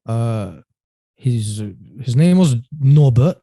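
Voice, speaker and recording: A man speaks as a podcast guest with a mellow, warm tone at a middle pitch. He rambles earnestly, restarting his phrases and checking in with "right?" The recording is dry and close. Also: animated, slow pace, dry recording. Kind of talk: storytelling in an interview.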